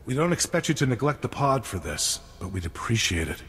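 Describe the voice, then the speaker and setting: An actor voicing a businesslike resistance fighter in a video game, giving matter-of-fact, clipped military briefings. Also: male voice, mid-range voice, sing-song, brisk, fairly bright tone, husky, heavily acted. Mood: earnest, businesslike. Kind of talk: scripted video game dialogue. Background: game music.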